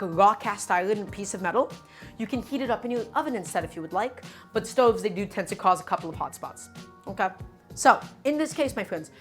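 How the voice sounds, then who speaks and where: A woman whose first language is Russian, hosting a live cooking stream from her home kitchen. She explains each step in a chatty, teaching way. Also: medium pitch. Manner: chatty, instructive, often says "my friends".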